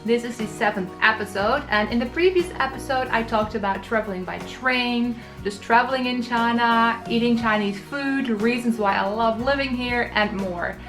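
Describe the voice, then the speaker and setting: A woman in her thirties from the Netherlands talks through travel tips, clear and practical in a scripted vlog delivery. Her voice sits a little high, with soft music underneath. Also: moderate pace, hardly any pauses. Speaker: travel vlogger.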